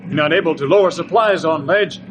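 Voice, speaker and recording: Man as a laconic sidekick, clipped broken English, slightly high male voice, old radio adventure, faint noise. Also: fairly quick, close to an everyday voice. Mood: terse, steady. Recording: noisy old radio recording.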